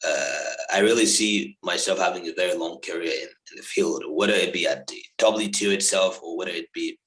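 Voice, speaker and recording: A man's voice: deep voice, brisk, fairly bright tone, roomy sound, low-quality recording. A young man from Liberia being interviewed over a video call, talking casually with many fillers and repeated words. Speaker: interviewee, law graduate.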